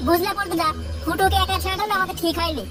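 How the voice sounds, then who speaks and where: A performer voicing a cheeky, whiny young village boy, a comic cartoon sidekick, in a squeaky, excitable way. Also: racing. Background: loud music.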